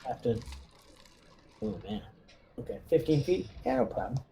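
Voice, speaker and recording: A man's voice: medium pitch, expressive, moderate pace, warm tone, slight background noise. A man playing a tabletop roleplaying game, casually narrating his character's moves and chatting at the table.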